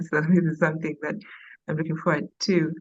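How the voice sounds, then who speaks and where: Ugandan woman, podcast co-host, lowish voice, chatty, dull call audio. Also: level tone, fairly quick, slightly rough. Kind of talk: casual live podcast chat.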